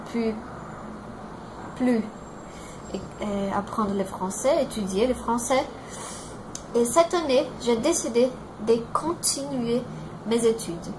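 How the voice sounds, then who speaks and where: A Brazilian woman in her twenties with a Brazilian Portuguese accent, introducing herself in a learned language and pausing often to search for words. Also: on the high side, background noise. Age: 20s. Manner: halting, searching for words, many fillers and restarts.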